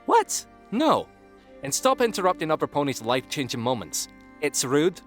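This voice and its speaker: Male actor as young cartoon pegasus, wildly varied pitch, cheerful, cheeky, animated, audio drama over background music. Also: moderate pace. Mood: indignant. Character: excitable young adult male pegasus pony, cheerful nature-lover. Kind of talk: acted dialogue in a fan-made audio drama.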